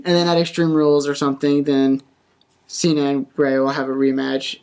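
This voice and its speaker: Mid-pitched podcast panelist talking casually. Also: little pitch movement, moderate pace, darkish tone, smooth. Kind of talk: casual wrestling prediction talk.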